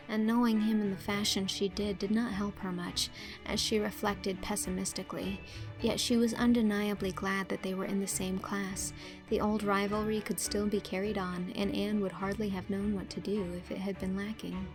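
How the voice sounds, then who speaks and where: A woman reading a literary story as an audiobook narrator, with expressive, clearly phrased storytelling. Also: medium pitch.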